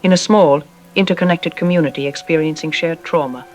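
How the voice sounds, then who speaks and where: A woman playing a self-assured psychologist on a radio drama interview, explaining in an articulate, didactic and calmly dismissive way. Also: sits low, a little fast, natural voice. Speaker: expert interview guest. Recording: music playing under the voice. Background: background music.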